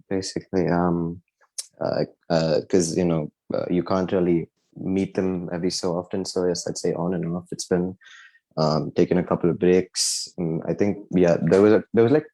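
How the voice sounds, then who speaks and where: A man's voice: deep voice, normal speed, warm tone, rough recording. A young man from India, a podcast guest chatting casually with many fillers and restarts. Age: young adult. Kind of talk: casual chat.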